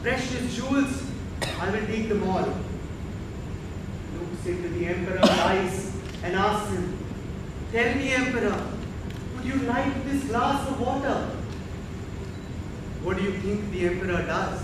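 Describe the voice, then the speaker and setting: A man playing a stern spiritual master in a stage play, speaking in a measured, theatrical, preachy storytelling way with deliberate pauses. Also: high voice. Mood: grave storytelling. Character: stern Sufi master, teaching a young prince by parable. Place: indoor theatre stage, distant mic. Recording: echoey theatre stage from far off, noisy.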